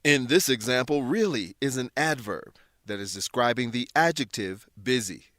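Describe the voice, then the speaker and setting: A man's voice: mid-range voice, animated, fairly bright tone, no room sound. Male English teacher narrating, dry studio sound. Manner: instructional, deliberate word-by-word explanations. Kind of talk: scripted educational narration.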